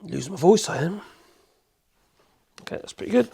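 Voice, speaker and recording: A man with a cold, hoarse, chatting in a rambling way as he demonstrates in his workshop. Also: high voice, expressive, normal speed, mellow. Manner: rambling, chatty, self-correcting, chuckles. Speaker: woodworking channel host.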